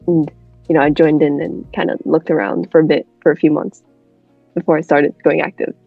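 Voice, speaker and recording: A woman's voice: sits low, flat delivery, brisk, creaky, breathy edge. A woman chatting casually as a show guest, blunt and informal. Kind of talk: casual chat. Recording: dull, roomy lo-fi mic, music behind.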